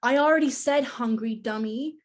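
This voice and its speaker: A woman's voice: a little high, moderate pace, lightly acted. A woman playing a bossy, teasing older child who scolds a younger sibling, in a script read-through. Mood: bossy, scolding. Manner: scolding, know-it-all. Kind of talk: rehearsed table read of a screenplay.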